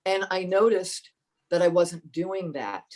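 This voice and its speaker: A woman with a fairly low voice speaks as a podcast guest, thinking aloud and circling back on her words. She is earnest and unhurried and leans into the points she cares about. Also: a little mellow. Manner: thoughtful, halting, with many restarts. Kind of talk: reflective personal storytelling.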